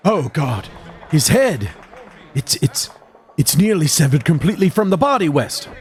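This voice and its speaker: A man playing a haunted, appalled doctor in a horror audio drama, speaking gravely and dramatically. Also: a little high, sing-song, moderate pace. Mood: horrified. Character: haunted, horrified male doctor, reluctant lab assistant.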